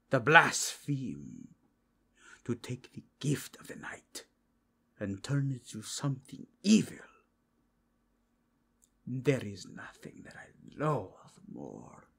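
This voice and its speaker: A man voicing a solemn, courteous, zealous werewolf inquisitor in a fantasy audio roleplay, speaking in formal, deliberate, archaic phrases with a husky, gravelly voice. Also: mid-range voice, fairly bright tone, heavily acted. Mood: grave, loathing. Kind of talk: fantasy ASMR roleplay acting.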